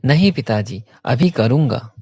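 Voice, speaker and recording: A man's voice: mid-range voice, expressive, measured pace, mellow, breathy edge, no echo, studio quality. A man playing an earnest office worker and devoted family man in a cartoon story, explaining himself plainly. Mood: earnest, hopeful.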